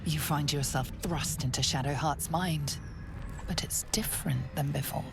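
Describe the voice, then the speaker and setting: A woman playing a mysterious narrator in a fantasy game, telling the story in a hushed, grave, suspenseful way. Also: sits low, moderate pace, gravelly. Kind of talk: scripted second-person game narration. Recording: loud music under the voice.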